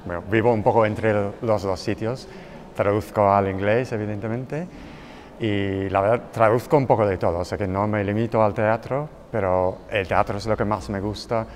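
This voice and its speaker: A man's voice: on the low side, fairly even intonation, normal speed, darkish tone, a little hiss or hum. A man from the United Kingdom, a translator answering an interview fluently and enthusiastically. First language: English.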